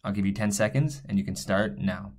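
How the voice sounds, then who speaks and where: A man's voice: lowish voice, brisk. A man teaching a recorded language lesson, explaining patiently and thinking aloud with small fillers as he writes.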